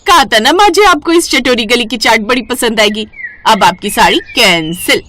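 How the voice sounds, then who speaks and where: A woman's voice: a little high, moderate pace, a little hiss or hum. A woman voicing a cheeky young daughter-in-law who coaxes, in a cartoon moral story. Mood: triumphant. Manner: coaxing, animated.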